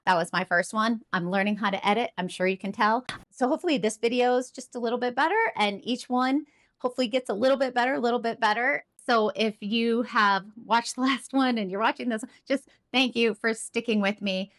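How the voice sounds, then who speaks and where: A woman's voice: on the high side, fairly quick, a bit bright. A woman in her fifties talking to camera in a casual, encouraging and self-deprecating way. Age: 50s. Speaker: climbing vlogger.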